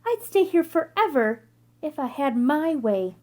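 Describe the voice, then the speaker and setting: A woman's voice: sits high, very animated, unhurried, warm tone, slight background noise. A woman voicing a lazy, blunt boy tomato in a storybook, with a drawling, direct manner. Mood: contented, sighing.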